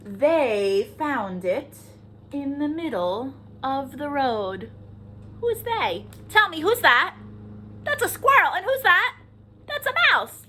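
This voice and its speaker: A woman hosting story time for young children, animated and sing-song, talking to them with questions and encouragement. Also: sits high, slow pace, slight background noise. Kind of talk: children's storytime read-aloud with singing and asides.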